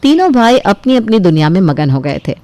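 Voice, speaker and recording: A woman's voice: medium pitch, big pitch swings, a little fast, warm tone, dry recording. A woman narrating a scripted family story as its storyteller.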